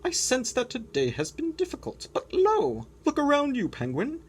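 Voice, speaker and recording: A woman voicing a wise, gentle old walrus with a grand, soothing, poetic way of speaking, in a picture book read-aloud. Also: high voice, huge pitch swings, normal speed, breathy edge, voice pushed up, full character voice.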